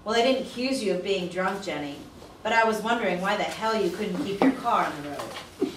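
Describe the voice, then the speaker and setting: A woman's voice: medium pitch, lively intonation, brisk, close to an everyday voice. Distant echoey actress as a reproachful woman narrator. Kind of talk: dramatic audiobook reading. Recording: distant, echoey, noisy playback in classroom.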